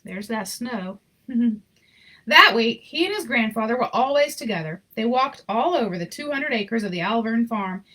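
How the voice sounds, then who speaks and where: A woman reading a children's picture book aloud in an expressive, informal way with chatty asides. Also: on the high side, normal speed, low-quality recording. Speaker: story reader.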